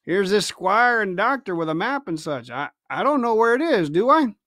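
A man's voice: high-pitched, big pitch swings, normal speed, a bit bright, dry recording, clean studio sound. A man reading a storybook, voicing a sly, domineering pirate ship's cook with hectoring, colloquial sailor speech. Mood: commanding, scheming.

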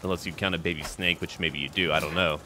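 A man's voice: sits low, steady tone, quick pace, pushed and bright, a little hiss or hum. A man hosting a roleplay strategy game video, musing aloud in short, clipped remarks. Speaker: gaming video host.

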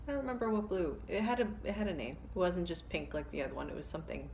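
A woman's voice: fairly low pitch, fast talker, gravelly, a little mellow, a little hiss or hum. A woman in her thirties chatting to camera from her living room, rambling and correcting herself with many ums. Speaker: cross-stitch vlogger. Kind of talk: casual chat to camera. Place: living room with kids' toys, indoors. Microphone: phone camera at arm's length.

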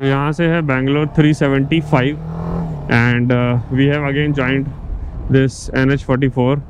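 Indian man vlogging over loud road noise. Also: medium pitch, fairly even intonation, slow pace. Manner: casual Hindi-English code-switching. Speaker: motorcycle vlogger. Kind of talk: casual ride vlog commentary. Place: outdoors, riding a motorcycle. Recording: noisy helmet-mic recording, engine and wind. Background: vehicle and road noise.

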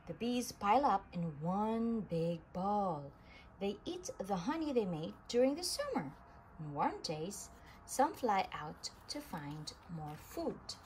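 A woman reading a children's nonfiction book aloud, phrasing it deliberately in short, separate chunks. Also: medium pitch, slight background noise, poor audio. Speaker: read-aloud reader of children's books.

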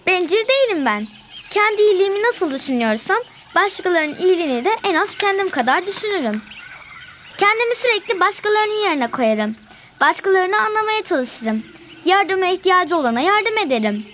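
Earnest, very high-voiced cartoon pupil. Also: female voice, big pitch swings, normal speed, a bit bright, a little hiss or hum, full character voice. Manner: earnest, explanatory. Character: earnest, kind, well-behaved young pupil. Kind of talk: children's educational cartoon acting.

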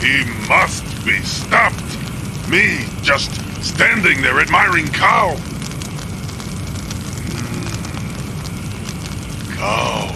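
A man voicing a dim-witted monster posing as a victim, gravelly and speaking in primitive broken grammar. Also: a little high, big pitch swings, very slow, long pauses, background noise, full character voice. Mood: indignant. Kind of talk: mock eyewitness interview in a video game.

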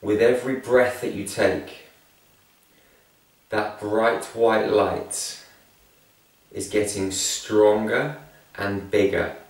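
Slow, low-voiced man guiding meditation in echo. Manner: calm, soothing, measured delivery with long pauses. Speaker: meditation guide. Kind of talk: spoken guided meditation. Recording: very echoey room, distant from mic.

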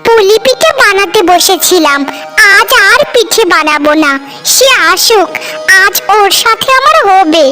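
A woman's voice: very high-pitched, lively intonation, a little slow. A woman playing a discontented, envious village housewife in a cartoon, scolding and complaining with sarcasm. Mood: envious grumbling. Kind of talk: cartoon voice acting in dramatic dialogue. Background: loud background music.

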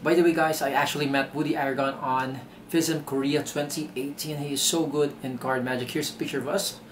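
A man's voice: on the high side, fairly even intonation, fairly quick, noisy background. A young man with a Filipino accent speaking casually to camera as host of a reaction channel. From Philippines. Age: young adult. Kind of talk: casual vlog commentary to camera. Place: indoors by a brick wall.

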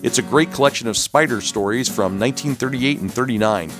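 A man's voice: mid-range voice, brisk, a bit bright, faint music behind. A man hosting and narrating a scripted documentary-style video.

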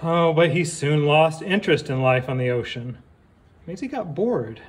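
A man reading a picture book aloud like a teacher, deliberately, with casual asides and questions for young listeners. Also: on the high side, lively intonation.

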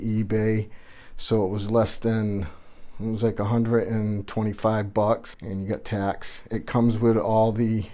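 Casual mellow-voiced man filming his build. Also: on the low side. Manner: casual, rambling, slangy. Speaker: tech and gaming channel host. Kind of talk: casual build walkthrough vlog. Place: home gaming room, indoors. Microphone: handheld phone camera.

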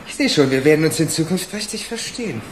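An actor playing a vengeful, mocking young ex-convict who bullies and threatens in a German radio crime drama. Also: male voice, fairly high pitch, lively intonation, quick pace, echoey room, background noise, close to an everyday voice. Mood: cold threatening. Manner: sneering, sarcastic, bullying.

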